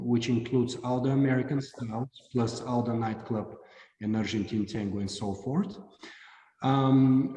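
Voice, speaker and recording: A man's voice: mid-range voice, dark tone. Bulgarian man in an echoey room. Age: 30s. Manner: earnest, measured, with frequent ums. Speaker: guest, dance instructor. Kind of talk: interview answers. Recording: roomy echo with a long reverb.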